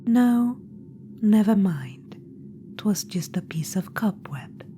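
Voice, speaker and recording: A woman's voice: mid-range voice, expressive, slow, warm tone. A woman voicing an imperious undead witch-queen spirit in an ASMR audio roleplay. She speaks in a whisper, teasing, condescending and commanding. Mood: coaxing whisper. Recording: clear background noise.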